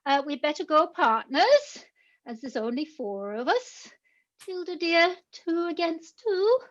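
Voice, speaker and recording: A woman's voice: very high-pitched, sing-song, unhurried, a bit bright, highs cut off, exaggerated voice. An actress playing a giggling, teasing young country girl.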